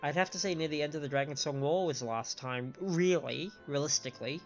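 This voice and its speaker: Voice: medium pitch, brisk. Analytical game streamer, dull lo-fi mic. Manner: analytical, explanatory. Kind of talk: casual live game commentary. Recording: dull lo-fi mic over faint music. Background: faint game music.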